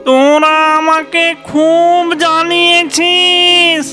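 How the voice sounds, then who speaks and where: A woman voicing a wicked, menacing cartoon genie who threatens and gloats slowly, with music under the voice. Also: extremely high pitch, little pitch movement, voice pushed up. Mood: gloating menace. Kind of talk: children's fairy-tale cartoon acting.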